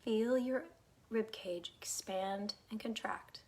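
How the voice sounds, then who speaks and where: Calm woman guiding a meditation. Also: mid-range voice. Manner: calm, unhurried, soothing, soft phrases trailing into breathiness. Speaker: guided meditation teacher. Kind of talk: guided meditation, gentle instruction.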